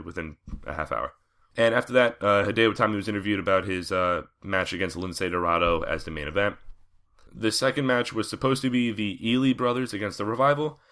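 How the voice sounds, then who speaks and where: A man hosting a podcast, recapping in a casual, conversational way with frequent fillers, his throat a little raspy and scratchy as he comes down with a cold. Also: lowish voice, fairly bright tone. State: coming down with a cold. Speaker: podcast host.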